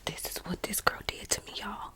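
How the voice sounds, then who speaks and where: Woman playing a flustered, indignant whispering girl. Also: close to an everyday voice. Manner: halting, repetitive, folksy "y'all" phrasing. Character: embarrassed, indignant girl complaining about ruined wig. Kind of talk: whispered ASMR roleplay.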